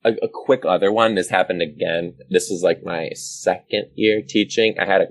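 Deep-voiced man telling funny teacher stories. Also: normal speed. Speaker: teacher, podcast guest. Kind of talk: casual comic storytelling.

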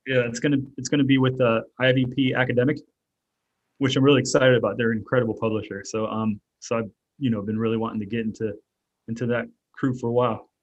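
A man's voice: mid-range voice, fairly even intonation, fast, muffled recording. Rambling man with many fillers. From Germany. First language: German. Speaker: author and artist guest. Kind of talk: casual interview talk.

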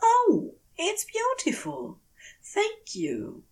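A woman voicing a gentle, hopeful, easily delighted man in a fiction podcast, polite and full of soft wonder. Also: extremely high pitch, huge pitch swings, very slow, pitched above the performer's own voice, heavily acted, poor audio. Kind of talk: acted dialogue in fiction podcast.

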